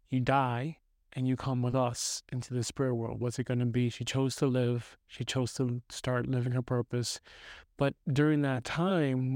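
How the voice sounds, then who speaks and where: Male co-host, mid-pitched voice, dry close sound, asks long probing questions. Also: darkish tone, dry recording. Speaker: podcast co-host. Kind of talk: casual podcast conversation.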